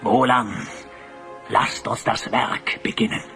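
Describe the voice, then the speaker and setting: A man playing a vain, scheming master sculptor in a fantasy audio drama, speaking with flattering, theatrical grandeur. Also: mid-range voice, takes it slowly, faint music behind. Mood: sinister, gloating.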